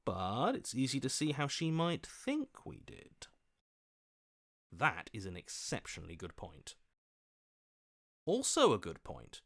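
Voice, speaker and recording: An actor playing an ingratiating, slippery opportunist in a video game, agreeable to every side. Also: male voice, medium pitch, fairly bright tone, heavily acted. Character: smooth-talking, fawning male opportunist, always hedging sides. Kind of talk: dramatic game voice acting.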